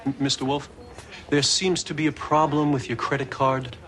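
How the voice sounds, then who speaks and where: A man's voice: mid-range voice, level tone, moderate pace, fairly bright tone, soft background music, natural voice. An actor playing a courteous, apologetic appliance store salesman in a naturalistic television drama. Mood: polite, awkward.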